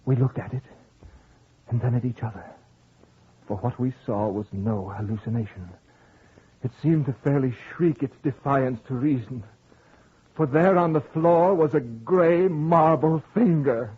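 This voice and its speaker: A man's voice: mid-range voice, unhurried, slight background noise, natural voice. Man acting haunted husband, tinny old radio horror. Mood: grief, dread. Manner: dramatic, theatrical delivery. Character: haunted husband narrating his ghostly tale, overwrought and grieving.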